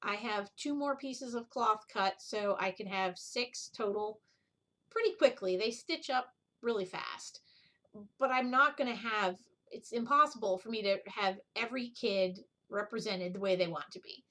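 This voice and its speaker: A woman's voice: mid-range voice, normal speed, a bit bright. A middle-aged woman chatting casually as the host of a needlework video, rambling and drifting off on tangents. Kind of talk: casual chat, show-and-tell vlog.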